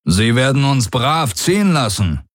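A man's voice: medium pitch, very animated, measured pace, dry recording, studio quality, voice pushed up. A man voicing a proud, bold alien warlord in an audiobook, commanding and coolly mocking. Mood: defiant. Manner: commanding, smugly self-assured. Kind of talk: audiobook character dialogue.

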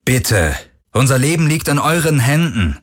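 A man's voice: medium pitch, big pitch swings, moderate pace, voice pushed up. A man voicing a rugged, cunning boss in an audiobook, firm and commanding in manner. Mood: pleading. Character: rugged, cunning male boss, combative and urgent.

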